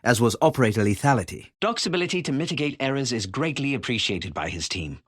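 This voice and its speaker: An urbane special-operations director from a shooter game, heard very close and dry. He speaks in formal, polished phrases with a lively rise and fall at a middling pitch. His manner is composed and dryly appreciative. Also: fast, breathy edge, dry recording. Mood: composed, approving. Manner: formal, articulate, erudite phrasing. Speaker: military instructor character in a tactical shooter game. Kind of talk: scripted voice lines, measured evaluative commentary.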